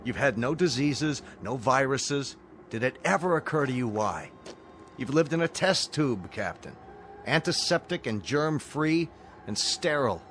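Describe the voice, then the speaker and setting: Measured, authoritative rescue colonel. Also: male voice, medium pitch, expressive, fairly bright tone, slight background noise. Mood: firm, challenging. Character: firm, rational male colonel leading a rescue mission. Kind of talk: radio drama acting.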